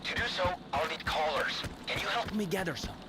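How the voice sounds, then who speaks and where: An actor playing an eager, self-assured scientist in a video game, speaking in an articulate, insistent way. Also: male voice, fairly high pitch, fairly quick, music in the background, lightly acted. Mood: smug, businesslike.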